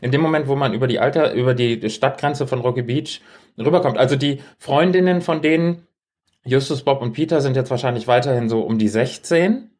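A man in an easygoing podcast conversation. His mid-pitched voice is conversational and playful, with a teasing edge as he banters with his co-host. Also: brisk, a little mellow. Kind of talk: casual, bantering chat with a co-host.

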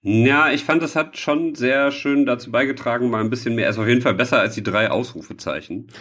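A man in a relaxed podcast chat. His voice sits at an ordinary middle pitch, and he feels his way through sentences, pausing to hunt for the right word. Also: breathy edge. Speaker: podcast co-host. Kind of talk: casual, rambling chat with a co-host.

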